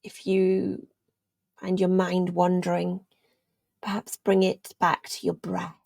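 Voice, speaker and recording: Hushed, creaky-voiced woman guiding meditation. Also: on the low side, completely flat delivery, no echo. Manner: gentle, soothing, unhurried with long pauses, trailing into near-whisper. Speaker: meditation guide, yoga teacher. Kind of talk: guided meditation narration.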